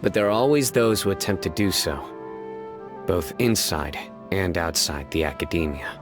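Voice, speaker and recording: A man voicing a stern, calm young male judge who speaks in a measured, grave and terse way in a video game. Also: low voice, natural voice. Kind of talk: game voice acting. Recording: game audio with music behind.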